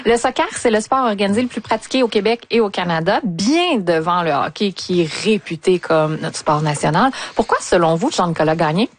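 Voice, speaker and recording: A woman's voice: on the low side, expressive, brisk, few pauses. A woman, moderating a panel discussion as a radio host.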